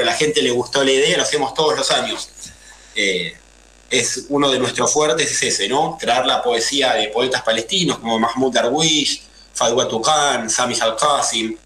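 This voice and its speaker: Bright Buenos Aires man, echoey room. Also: medium pitch, normal speed, slight background noise. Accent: Buenos Aires Spanish. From Argentina. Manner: earnest, explanatory, lots of fillers. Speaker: guest. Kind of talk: radio interview answers.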